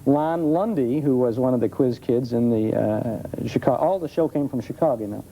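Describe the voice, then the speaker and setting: A man chatting informally as a studio guest expert, answering questions in a halting, explanatory way. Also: mid-range voice, mellow. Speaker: talk show guest, old-time radio expert. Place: television studio. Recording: dull noisy TV studio tape.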